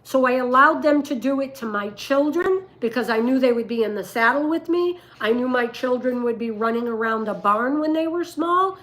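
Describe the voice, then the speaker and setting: An older woman with a rough voice, telling stories and giving advice casually on a livestream, in an emphatic, deliberate way. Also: high voice, animated, a bit bright, rough recording. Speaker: dog breeder and livestream host.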